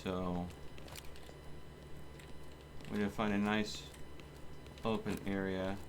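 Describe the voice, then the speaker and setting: Low-pitched man live-streaming a video game. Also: level tone, slow, background noise. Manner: thinks aloud, talking to viewers. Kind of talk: casual live game commentary.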